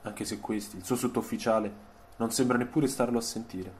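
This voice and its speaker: A man narrating a scripted dramatic radio story, solemn and measured, with deliberate, literary phrasing. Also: medium pitch, fairly even intonation, a little mellow, slight background noise. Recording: music behind the voice. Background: music soundtrack.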